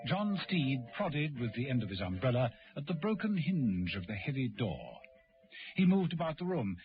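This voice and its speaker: A man reading dramatic scripted narration for a radio play. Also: mid-range voice. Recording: dull old radio recording, music behind.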